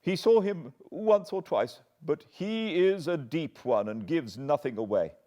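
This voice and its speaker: A man's voice: fairly high pitch, lively intonation, a little slow, dark tone, pitched above the performer's own voice. An English man voicing an anxious, earnest older butler who pleads and frets. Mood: confiding. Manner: agitated, pleading.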